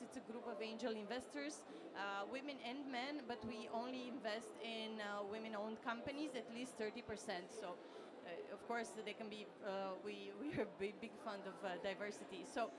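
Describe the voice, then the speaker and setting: A woman from Romania answering on a conference panel, hesitant, with frequent pauses and restarts. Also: a little high, heavy background noise. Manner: hesitant, many fillers and restarts. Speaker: panelist, tech executive.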